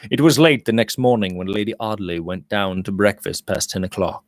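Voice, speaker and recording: A man's voice: lowish voice, brisk, no echo, clean studio sound. A man narrating a Victorian novel as an audiobook reader, in a theatrical storytelling manner.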